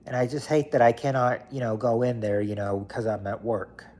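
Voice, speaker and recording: A man's voice: mid-range voice, steady tone, normal speed, darkish tone. Late-30s guy on a chill livestream, rambly, lots of "you know" and "i mean". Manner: rambling, repeats himself with many fillers. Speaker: livestream host. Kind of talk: casual chat reading viewer comments.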